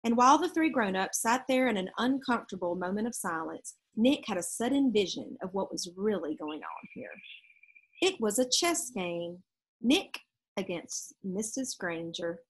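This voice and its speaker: A woman's voice: mid-range voice, lively intonation, moderate pace, husky, rough recording. A woman in her thirties reading a children's storybook aloud expressively outdoors. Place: outdoors on a leafy backyard porch.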